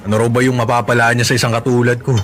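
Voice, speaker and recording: A man's voice: medium pitch, little pitch movement, rapid-fire, fairly bright tone, lightly acted. An actor playing a burdened father confiding in a coworker, casual and resigned, in a Filipino radio drama. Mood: weary, confiding. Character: burdened male coworker, weary father hiding troubles. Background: background music.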